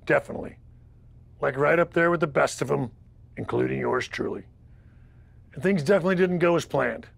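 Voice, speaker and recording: A man's voice: a little high, a little fast, vocal fry, long pauses, a little hiss or hum. A man acting as a candid, proud wrestling manager giving a documentary-style interview in a video game.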